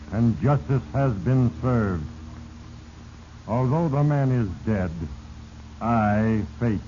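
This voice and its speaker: Noisy old radio, sinister all-knowing narrator guy, very slow, dark mellow voice, heavy and ominous. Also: on the low side. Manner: heavy-handed, ominous, deliberate. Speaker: host and narrator. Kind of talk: 1940s radio melodrama narration. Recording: noisy, dull 1940s radio recording.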